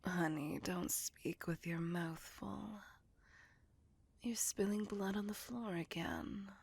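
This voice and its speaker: A woman's voice: lowish voice, slow, a little mellow, no room sound, heavily acted. A woman voicing a possessive, seductive vampire in a whispered ASMR roleplay, doting on her lover with intimate, coaxing control. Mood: seductive, pleased. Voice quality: breathy whisper with husky, creaky edge. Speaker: voice actor.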